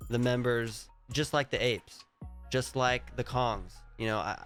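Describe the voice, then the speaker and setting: A man's voice: medium pitch, a bit bright. A man with a stuffy, congested voice from a cold, casually talking through projects on his screen, rambling and thinking aloud. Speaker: crypto vlogger. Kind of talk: casual screen-share commentary.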